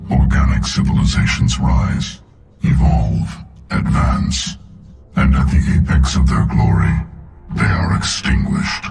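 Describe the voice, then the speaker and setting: Godlike game villain machine: extremely deep, grinding, contemptuous, echoing. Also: male voice, vocal fry, exaggerated voice. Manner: grandiose, slow declarations, cold and contemptuous. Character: ancient godlike sentient machine, arrogant, menacing destroyer of organic life. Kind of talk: video game villain voice acting. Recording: echoing, loud music behind.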